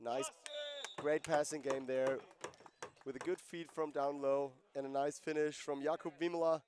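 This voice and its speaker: A man's voice: fairly high pitch, steady tone, a little slow, background noise. Man calling a lacrosse game live. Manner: conversational, explains rules and player backgrounds between plays. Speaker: play-by-play commentator. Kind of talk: live sports commentary.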